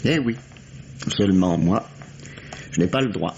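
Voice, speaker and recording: A man's voice: sits low, expressive, unhurried, gravelly, a little mellow, slight background noise, full character voice. An actor playing a shrewd, middle-aged police detective in a radio mystery, teasing and condescending as he interrogates. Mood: probing, firm. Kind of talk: 1950s French radio mystery acting. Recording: dull, vintage radio drama sound.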